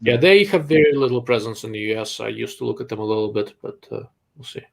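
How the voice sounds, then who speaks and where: A man's voice: mid-range voice, fast, vocal fry. A man with a Russian accent hosts a live Q&A, answering viewer questions in a blunt, dryly sarcastic way. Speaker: host. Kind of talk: live-stream chat and Q&A.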